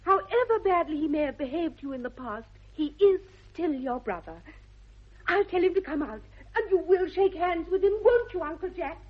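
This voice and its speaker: A woman's voice: extremely high pitch, expressive, moderate pace. A woman playing an eager, sweetly earnest young English lady with precise, gushing diction in a radio comedy of manners. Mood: pleading. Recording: dull 1960s radio recording.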